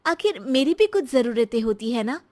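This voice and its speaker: A woman's voice: a little high, sing-song, moderate pace, darkish tone, voice pushed up. A woman voicing a fed-up, thrifty young wife in a cartoon story, complaining and insistent.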